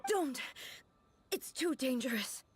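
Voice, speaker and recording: A woman playing a regal, solemn warrior deity in a video game, measured and formal. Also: high-pitched, animated. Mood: alarmed. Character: regal, solemn female deity shogun, composed warrior ruler.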